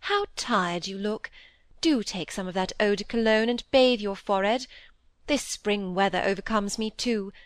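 A woman's voice: medium pitch, moderate pace, dry recording, clean studio sound, lightly acted. A woman voicing an affected, fussy middle-aged stepmother who pities herself, in an audiobook reading. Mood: gushing. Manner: affected, gushing, fluttery.

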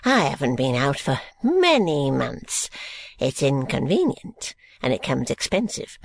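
A reader voicing a frail, wheezing old man in a storybook, slow and fawning. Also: mid-range voice, huge pitch swings, gravelly, full character voice. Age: over 80. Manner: fawning, ingratiating.

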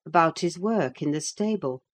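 A woman's voice: fairly low pitch, animated, moderate pace. A woman voicing a no-nonsense, sardonic female servant in a dramatised audiobook, dry, sententious and scolding. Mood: cool, disapproving. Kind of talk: dramatised audiobook reading of a classic novel.

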